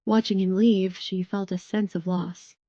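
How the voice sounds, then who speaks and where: Mellow female audio drama narrator. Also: mid-range voice, steady tone, a little slow, no room sound, muffled recording.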